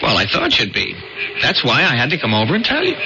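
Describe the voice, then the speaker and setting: Young small-town reporter in old radio drama, mild and persistent, hugely varied intonation, muffled noisy sound. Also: male voice, medium pitch, wildly swooping pitch, quick pace, creaky. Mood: earnest, coaxing. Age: young adult.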